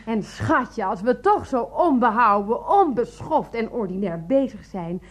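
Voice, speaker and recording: An actress playing a bitter, sarcastic, exasperated wronged wife in a nineties radio comedy drama. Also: female voice, fairly high pitch, animated, a little slow. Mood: biting sarcasm.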